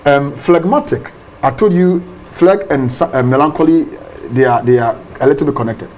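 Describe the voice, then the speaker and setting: A man, a pastor explaining ideas in an interview, animated and often repeating words. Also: on the high side, normal speed, slight background noise. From Ghana.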